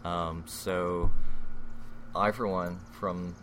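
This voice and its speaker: Earnest, halting man with a bright voice. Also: sits low, fairly even intonation, slight background noise. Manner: earnest, halting, self-deprecating. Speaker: guest. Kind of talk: casual chat.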